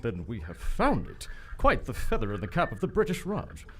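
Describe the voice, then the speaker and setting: A man's voice: mid-range voice, huge pitch swings, moderate pace. Pompous, wildly swooping prince explorer played by a man. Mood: eager, confident. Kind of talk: old-time adventure radio acting. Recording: live stage recording, some background noise.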